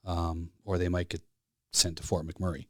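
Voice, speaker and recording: A man's voice: deep voice, level tone, moderate pace, a little mellow, dry recording. A plain-spoken man in his fifties from Alberta with a Canadian accent, chatting casually and telling stories as a podcast guest in a studio. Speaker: podcast guest, flight service specialist. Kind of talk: casual conversational storytelling.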